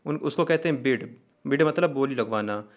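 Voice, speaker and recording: A man's voice: medium pitch, normal speed. A man presenting an exam news update, explaining each point methodically, step by step. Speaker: host of an exam news channel.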